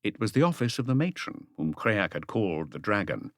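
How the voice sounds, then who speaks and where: Deep male narrator reading a novel, lots of pitch movement, dry sound. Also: sing-song, fairly quick, no echo. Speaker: audiobook narrator. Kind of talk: scripted literary narration.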